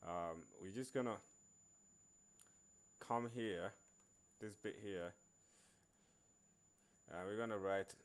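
A man narrating an informal programming screencast in a halting way, repeating words as he works. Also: fairly low pitch, clean tone. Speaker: programming tutorial presenter. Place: screen recording voice-over.

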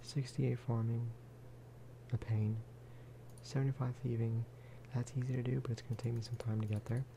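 Casting: male ASMR gamer, hushed soft-spoken delivery, middle pitch, unhurried, noisy recording. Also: little pitch movement, creaky. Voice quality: soft, hushed voice, at times breathing into whisper. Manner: unhurried, low-key, musing aloud. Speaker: gaming video creator.

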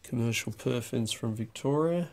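A man talking through a stamp auction lot in a dry, unhurried way, with wry asides, recorded over a desk. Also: mid-range voice, darkish tone. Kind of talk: off-the-cuff lot walkthrough. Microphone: overhead camera on a desk.

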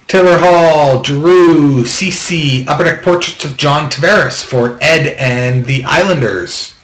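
A man's voice: a little high, a bit bright. A man hosting a card break, reading off names as he opens packs, with offhand jokes between. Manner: quick list-reading with offhand jokes and complaints. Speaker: box break host. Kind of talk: reading out trading cards while opening packs. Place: small room at home, table. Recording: echoey room, faint background noise.

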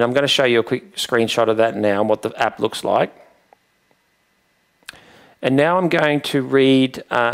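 Creaky-voiced man presenting a tutorial. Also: mid-range voice. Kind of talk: conversational instructional demonstration. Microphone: headset.

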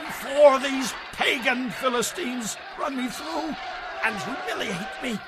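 A man's voice: very high voice, takes it slowly, crowd noise, noisy background. A man playing a wounded, despairing king with a groaning, strained voice in a dramatized audio Bible. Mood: agonized, desperate. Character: wounded, despairing older king Saul, male.

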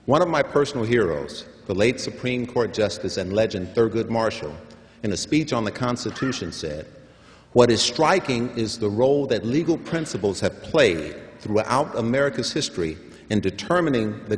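Older man, low voice, formal commencement address, measured and emphatic, podium mic, long hall echo. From San Francisco. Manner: measured, emphatic, builds to stressed points. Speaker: commencement speaker. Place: large hall at a graduation ceremony.

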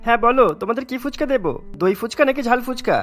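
A fussy street snack seller from a cartoon , played by a voice actor, firm and scolding. The words tumble out very quickly over light background music. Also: mid-range voice, a bit bright, close to an everyday voice. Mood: fussy, concerned. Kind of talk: cartoon voice acting.

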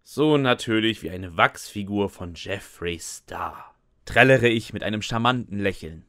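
A man's voice: fairly low pitch, animated, unhurried, pushed and bright, dry recording. A man narrating and acting the lead in a scripted comic fan audio drama, with a dry, sarcastic, resigned manner. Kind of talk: scripted first-person comic narration with dialogue.

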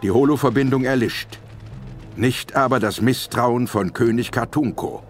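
A man's voice: medium pitch, normal speed, soft background music. A man narrating a scripted audio drama as the storyteller.

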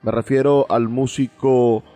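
A man's voice: medium pitch, steady tone, unhurried, warm tone. A man with a Venezuelan accent presenting a radio programme in a formal, effusive way, often repeating himself for emphasis. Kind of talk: radio presenting, introducing music. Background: background music.

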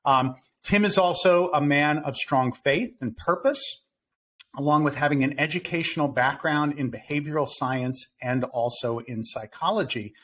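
A man's voice: on the high side, normal speed, fairly bright tone. 50s guy hosting a podcast over video call, earnest, bit of hiss in the background. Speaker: podcast host. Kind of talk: podcast interview. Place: home room on video call.

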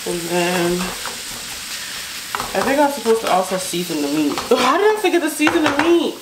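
An American woman talks in a fairly high voice, casual and unscripted, like a home cook thinking out loud for her viewers. She is recorded in a kitchen with clear echo. Sizzling and stove noise sit plainly behind her voice. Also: sing-song, normal speed. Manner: informal, unscripted, thinking aloud. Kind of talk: casual chat while cooking. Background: sizzling pan, clicks, stirring.

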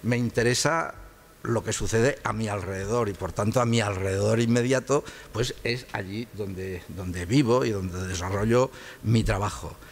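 An older man from Catalonia speaks as a guest lecturer in a lecture hall, his voice sitting on the low side. He talks in a loose, conversational way, stumbling and repeating small words while he searches for the next phrase. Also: normal speed. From Catalonia, Spain.

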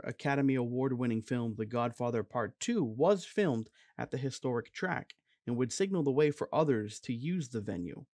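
Male voice-over narrator, mid-pitched and even, reading a history script in a dead-dry booth. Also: no room sound. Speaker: documentary narrator.

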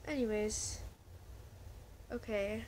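A woman's voice: mid-range voice, fairly even intonation, slow pace, darkish tone, a little hiss or hum. A woman casually chatting to her viewers in a rambling vlog, often restarting her sentences. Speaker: vlogger.

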